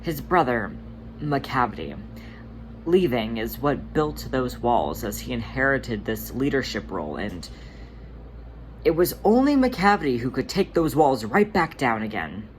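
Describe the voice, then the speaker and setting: A narrator reading fan fiction aloud in an expressive storytelling style, with a mid-range voice. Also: medium pitch, measured pace, rough recording. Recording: faint background noise.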